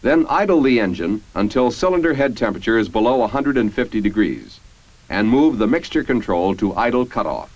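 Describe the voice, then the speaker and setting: An American man reading scripted 1950s military training-film narration in a formal, measured, instructional manner. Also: mid-range voice, sing-song, a little mellow, dry recording. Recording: dull, band-limited 1950s film soundtrack.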